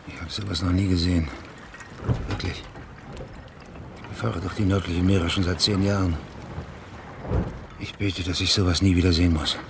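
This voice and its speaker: An actor playing a steady, seasoned naval lieutenant in a German radio drama, deferential yet insistent in an understated way. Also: male voice, low voice, normal speed, vocal fry, long pauses, noisy background. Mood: uneasy. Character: steady, seasoned male ship's lieutenant of northern seas.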